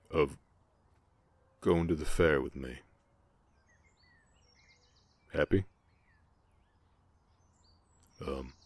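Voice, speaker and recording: Male actor as a gruff but gentle orc cowboy, extremely low voice, American Southern accent, bashful and halting, dry close sound, western romance roleplay. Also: no room sound, full character voice. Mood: flustered. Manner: bashful, halting, softly protective.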